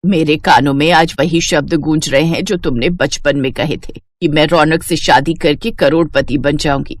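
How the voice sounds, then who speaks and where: Proud wealthy matriarch, played by a woman, stern yet yielding, pitched down, cartoon moral story. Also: fairly even intonation, normal speed, no echo. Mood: resigned. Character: proud rich mother of an only son.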